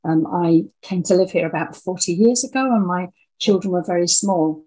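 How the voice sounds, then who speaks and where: Slightly husky older English woman. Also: on the low side, normal speed, mellow, poor audio. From Birmingham, English Midlands. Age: 60s or older. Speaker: interview guest, audio drama writer. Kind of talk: conversational interview storytelling.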